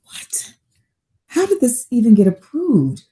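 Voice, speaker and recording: A woman's voice: fairly high pitch, huge pitch swings, takes it slowly, warm tone, heavily acted. A woman in a table read playing a composed, careful, concerned doctor in a drama. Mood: worried, urgent. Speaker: actor in a script table read. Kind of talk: dramatic script reading.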